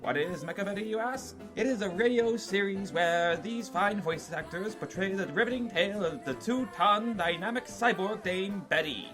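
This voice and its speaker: A high-voiced man delivers a scripted promo as an announcer. Clear music plays underneath. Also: expressive, moderate pace, hardly any pauses.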